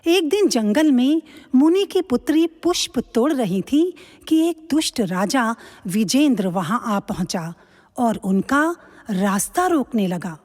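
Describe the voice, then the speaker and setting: A woman's voice: on the high side, very animated, unhurried, a little mellow. A woman narrating a scripted mythological story in a formal storytelling style. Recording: long hall reverb.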